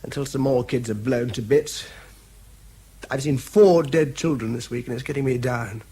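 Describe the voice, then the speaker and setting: Wartime bomb investigator, British, radio drama: husky, tired, courteous, clipped and slightly hesitant. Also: male voice, mid-range voice, normal speed, dark tone. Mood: weary, urgent. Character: tired, earnest young male military bomb investigator.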